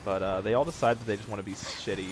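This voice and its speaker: A man's voice: lowish voice, racing, background noise. A young man streaming a game, chatting casually and joking at himself, with the odd curse. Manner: laid-back, self-mocking, swears casually. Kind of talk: casual gameplay commentary.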